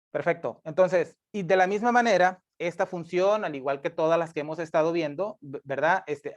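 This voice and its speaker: A man teaches at his desk, his voice sitting a little high. He explains patiently, repeating key points and correcting himself often. The sound is dull and lo-fi. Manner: patient, repeats points, many tag questions and self-corrections. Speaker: instructor. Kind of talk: screencast coding lesson. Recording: dull lo-fi mic.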